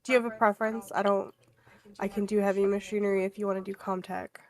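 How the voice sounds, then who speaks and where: Mid-pitched woman playing a tabletop game. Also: flat delivery, fast. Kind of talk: casual game chat.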